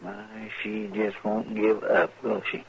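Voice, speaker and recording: Folksy western sidekick on noisy radio. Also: male voice, lowish voice, completely flat delivery, takes it slowly, gravelly. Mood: sympathetic. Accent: rural Western American. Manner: folksy, drawling, chatty. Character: folksy, good-natured, timid marshal's sidekick. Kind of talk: 1950s radio western acting. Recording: noisy, dull 1950s radio recording.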